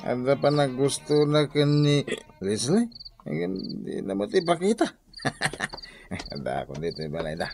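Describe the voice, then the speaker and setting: Boisterous, swooping Ilocano village husband. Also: male voice, a little high, big pitch swings, measured pace, creaky, over music, heavily acted. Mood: sneaky, grumbling. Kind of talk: comedic radio drama acting.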